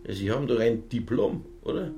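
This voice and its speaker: An actor playing a gruff, laconic Bavarian police inspector in a radio drama, speaking dryly. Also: male voice, medium pitch, lively intonation, fairly quick, warm tone, faint music behind, lightly acted. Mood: dry, unbothered. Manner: laconic, dry. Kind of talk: naturalistic radio drama dialogue.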